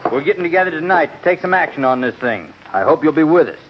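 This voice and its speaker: Muffled old radio recording, high-pitched American rancher, male, hot-headed, confrontational, folksy cowboy drama. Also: sing-song, fast talker, slight background noise. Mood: impatient, taunting. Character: hot-headed, impatient cattle rancher spoiling for war. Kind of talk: old-time radio western acting.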